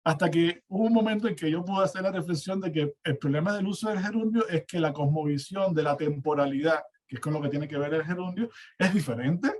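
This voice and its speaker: Hesitant Cuban man, higher-pitched voice. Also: brisk, few pauses. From Cuba. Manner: hesitant, repeats words. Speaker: academic panelist and university teacher. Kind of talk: spontaneous academic discussion. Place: online seminar, remote call.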